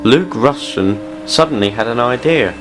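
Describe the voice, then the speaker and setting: A man's voice: mid-range voice, animated, moderate pace, music in the background. A man reading scripted narration for a children's style audio drama story.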